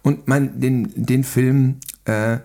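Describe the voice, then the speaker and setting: A man's voice: mid-range voice, fairly even intonation, takes it slowly, vocal fry, a little mellow. A man talking casually and enthusiastically as a guest in a film chat. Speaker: podcast guest.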